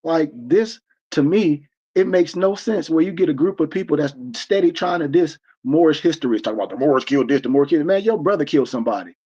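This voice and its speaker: A man's voice: fairly high pitch, lively intonation, a little fast, mellow, slightly rough, highs cut off. A man in his forties, from the United States, lecturing in a casual preaching style as host of an online stream, emphatic and rambling with many restarts. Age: 40s.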